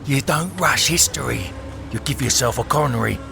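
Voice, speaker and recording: A man playing curt, menacing gangland bosses in a confrontation, in a dark audio drama. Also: medium pitch, fairly quick, vocal fry, over music, pitched above the performer's own voice. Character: tense gangland bosses squaring off in a warehouse.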